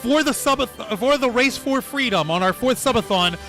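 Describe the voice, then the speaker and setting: A man's voice: very high-pitched, lively intonation, normal speed, sharp tone. A man hosting a live stream, joking casually in an irreverent, profane way. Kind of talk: casual joking panel chat. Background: music.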